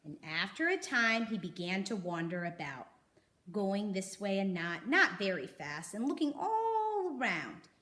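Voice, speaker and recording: A woman reading a children's storybook aloud, expressively, with dramatic emphasis and pauses. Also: mid-range voice, big pitch swings, a bit bright. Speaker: storybook reader.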